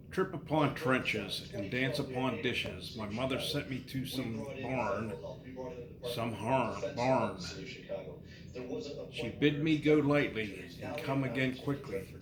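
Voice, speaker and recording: A man reading nursery rhymes aloud to his child in a sing-song, unhurried way, pausing and repeating lines. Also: medium pitch, roomy sound, low-quality recording.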